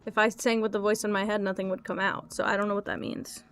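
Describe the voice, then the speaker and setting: A young woman with a hoarse throat, chatting casually and swearing while she streams and sketches. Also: mid-range voice, level tone, quick pace. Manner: swearing, talkative, complaining. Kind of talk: casual livestream chat while drawing.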